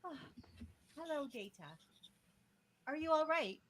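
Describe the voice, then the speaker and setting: Very high, swooping starship counselor played by a woman. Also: animated, unhurried, dry recording. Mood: friendly. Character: startled female starship counselor.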